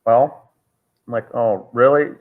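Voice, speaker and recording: A man's voice: mid-range voice, slow pace. A man talking as an interview guest on a call, telling a long story with many "uh" fillers and restarts. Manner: rambling, frequent "uh" fillers and restarts. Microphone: call audio. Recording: tinny phone-like call audio.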